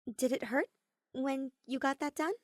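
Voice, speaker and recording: A woman's voice: sits high, unhurried, dry recording, studio quality, pitched above the performer's own voice. A woman voicing an earnest, cheerful teenage girl pianist who speaks eagerly and gets a little flustered.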